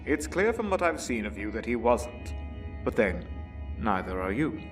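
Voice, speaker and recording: An actor playing a calm, retired older butler and mentor in an audio drama, formal, dry and measured. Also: male voice, medium pitch, recorded from a distance, faint music behind, natural voice. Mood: grave, earnest.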